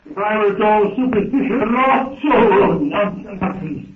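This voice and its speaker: A man's voice: high-pitched, lively intonation, slow pace. An actor playing an earnest, courteous man on a jungle expedition, in 1930s radio serial style. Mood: uneasy. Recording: noisy, echoey, distant, tinny old radio recording.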